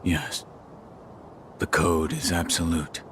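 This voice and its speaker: An actor playing a stoic, obedient shinobi warrior in a video game, terse and solemn. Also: male voice, low-pitched, level tone, slow pace, background noise. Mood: solemn obedience. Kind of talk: video game cutscene acting.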